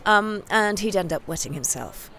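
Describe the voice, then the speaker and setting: An actress playing an earnest biographer in a mock-documentary interview, casual, hesitant and often correcting herself. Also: female voice, medium pitch, animated, normal speed, a bit bright, a little hiss or hum.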